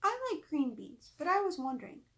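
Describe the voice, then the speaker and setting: A woman's voice: high-pitched, big pitch swings, fairly quick, fairly bright tone, pitched above the performer's own voice. A woman voicing curious, eager young girls in a storybook read-aloud.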